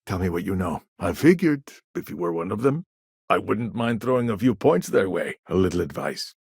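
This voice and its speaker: An actor playing a shrewd, courteous business negotiator in a video game, with a gravelly voice and a wry, conversational manner. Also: male voice, sits low, very animated, normal speed, a little mellow, no echo, studio quality, heavily acted. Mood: skeptical, firm. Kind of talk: video game dialogue lines.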